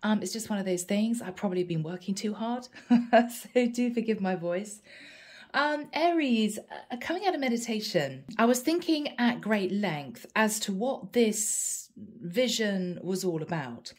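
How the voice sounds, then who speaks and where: A woman's voice: mid-range voice, animated, a little slow. Stuffy-voiced woman giving a tarot reading. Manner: musing, soft, thinks aloud with hmms. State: head cold, stuffy voice. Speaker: tarot reader. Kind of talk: tarot card reading to camera.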